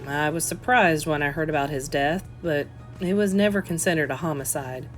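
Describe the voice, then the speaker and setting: A woman voicing a guarded, gruff but courteous male police detective in an audiobook, measured and terse. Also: sits low, faint music behind, pitched below the performer's own voice, lightly acted. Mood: guarded, matter-of-fact. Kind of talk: audiobook character voice.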